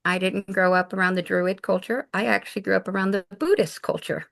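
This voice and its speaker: A woman's voice: mid-range voice, steady tone, brisk, gravelly. A woman raised in Japan, a shaman guest in an interview, telling her life story in an earnest, reflective way. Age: adult mother of four. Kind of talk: casual interview talk.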